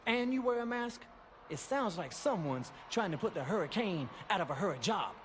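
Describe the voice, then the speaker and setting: A man's voice: high-pitched, very animated, normal speed, noisy background. A man voicing a pompous, mock-heroic costumed superhero wrestler in a video game cutscene, self-important and suspicious. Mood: smug, probing.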